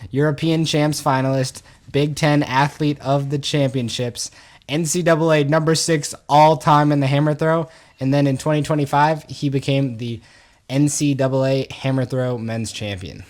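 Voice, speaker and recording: A man's voice: fairly high pitch, steady tone, normal speed, a little mellow. A young adult man hosting a podcast, upbeat and casual, repeating words as he talks. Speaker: podcast host.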